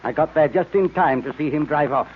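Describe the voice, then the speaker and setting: A man playing a smug, mercenary crook in a 1940s radio serial, speaking in a measured, self-satisfied way. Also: a little high, lightly acted. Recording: muffled, faintly noisy old radio recording.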